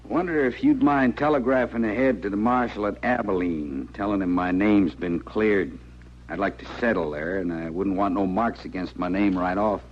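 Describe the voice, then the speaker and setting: An actor playing a surly, grudging cowboy in a radio western, with a terse Western American drawl. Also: male voice, fairly low pitch, moderate pace, natural voice. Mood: casual, wary. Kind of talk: 1950s radio western acting. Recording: muffled, noisy old radio recording.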